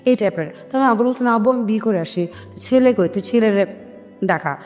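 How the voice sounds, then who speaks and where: A woman's voice: a little high, quick pace. A woman with a Bangladeshi accent telling her personal story in an interview, acting out conversations and mixing in some English words. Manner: animated retelling with quoted family dialogue, English words mixed in. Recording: long hall reverb, music underneath.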